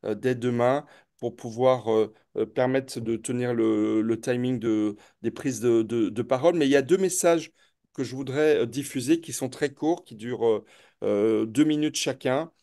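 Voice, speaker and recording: A man hosting a live public debate, speaking in a halting way and often repeating words as he moderates. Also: mid-range voice, dark tone.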